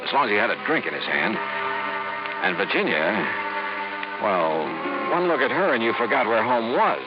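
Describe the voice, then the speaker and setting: A man's voice: lowish voice, sing-song, normal speed. A man playing a cool, observant investigator in a mid-century radio detective drama, with clipped questions and wry narration. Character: probing male freelance insurance investigator, cool and observant. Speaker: lead actor and narrator. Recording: muffled old radio broadcast, music behind.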